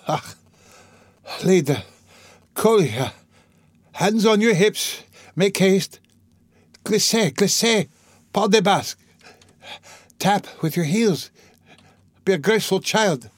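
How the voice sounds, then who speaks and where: A dying mother in a classic novel, acted by a male narrator, pitched well up from his own voice. She sounds faint and gasping, bitter and delirious, rambling fretfully and dramatically in a dry recording. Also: a little slow, darkish tone, no room sound, natural voice. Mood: feverish, rambling.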